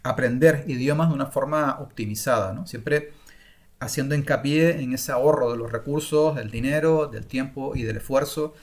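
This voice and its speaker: A middle-aged man from Spain gives an unscripted online masterclass on learning languages, often pausing with fillers and restarting his sentences. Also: mid-range voice. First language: Spanish. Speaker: language-learning course creator.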